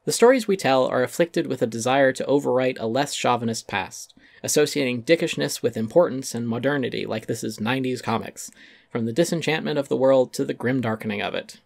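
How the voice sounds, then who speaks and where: A man's voice: medium pitch, fairly quick, creaky, no room sound, clean studio sound. A man giving a dramatic reading of a critical essay, narrating with emphatic, sardonic delivery and some swearing.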